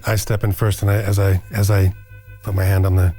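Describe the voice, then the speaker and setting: A man's voice: low voice, level tone, fairly quick, mellow. A man playing a tabletop game, narrating his actions and speaking in character. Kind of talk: in-character roleplay. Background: background music.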